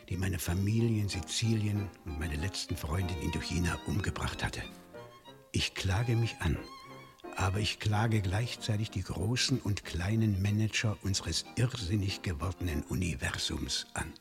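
An actor playing a bitter, vengeful criminal confessing in a declamatory, accusing monologue for a radio crime drama. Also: male voice, low voice, creaky. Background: music under the voice.

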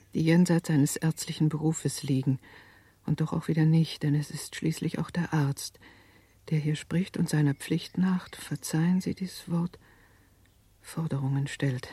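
A breathy, mellow elderly man's voice, recorded dry. an old family doctor in a radio play, courteous and deferential, setting out a formal letter with earnest concern. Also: fairly high pitch, no echo. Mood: apologetic, earnest. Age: older.

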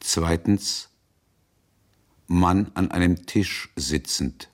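A solemn man reciting in a radio drama. He announces short, clipped phrases with great deliberation, in a low voice and at an extremely slow pace. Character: male reader solemnly reciting a numbered list.

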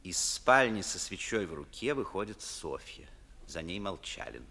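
A man's voice: sits low, big pitch swings, unhurried, pushed and bright. A man narrating scene directions for a 1950s Russian radio play, in scripted storytelling style. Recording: long hall reverb, music behind. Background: music.

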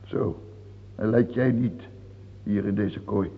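A man acting in a radio play as an old, ailing king, fearful and self-pitying, with a halting, muttering, brooding delivery. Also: lowish voice, steady tone. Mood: irritated. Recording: muffled phone-like old radio recording, hiss and hum.

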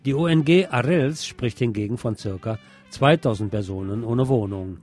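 A man presenting radio news, reading from a script in a measured bulletin style. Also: mid-range voice, darkish tone, soft background music.

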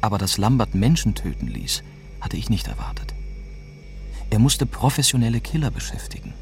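A young newspaper correspondent in a radio drama, recounting a dangerous investigation. His voice is low, and his telling is sober and literary, in the first person. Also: huge pitch swings, moderate pace, creaky, soft background music, heavily acted. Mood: uneasy, downcast. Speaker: narrator.

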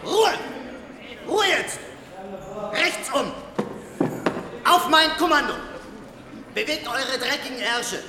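An actor playing a bullying male prison guard, shouting commands like a drill sergeant in a radio drama. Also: very high-pitched, lively intonation, slow, long pauses, echoey room, in a large hall, heavy background noise, exaggerated voice. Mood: aggressive.

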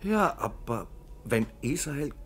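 An actor playing a hesitant but stubbornly doubting engineer, with a husky voice, in a radio drama. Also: male voice, mid-range voice, very animated, slow pace, fairly bright tone, heavily acted. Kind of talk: German radio drama acting.

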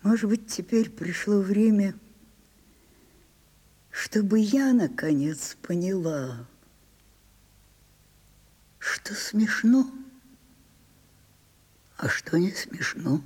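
Grand aging stage diva for radio play: weary, capricious, commanding, unhurried, soft dark tone. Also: female voice, medium pitch, slightly rough. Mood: anguished, weary. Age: older. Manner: theatrical, commanding, dramatic pauses. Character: imperious, theatrical old French actress, weary and capricious. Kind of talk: Soviet radio drama acting.

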